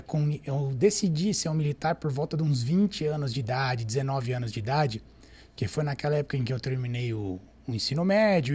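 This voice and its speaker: A man in his thirties with a São Paulo accent, a police captain calmly answering interview questions about his career. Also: on the high side. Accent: Paulistano Brazilian Portuguese. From São Paulo, Brazil. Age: 30s. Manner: calm, measured, storytelling. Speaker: military police captain, guest.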